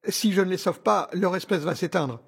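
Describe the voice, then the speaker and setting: An actor playing a suave, grandiose doctor villain in an audio drama, speaking in a formal, measured, persuasive way. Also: male voice, high voice, creaky, husky, no room sound, clean studio sound, heavily acted. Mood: proud, solemn. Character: suave, grandiose male doctor villain ruling a survivors' ark. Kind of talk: scripted audio drama acting.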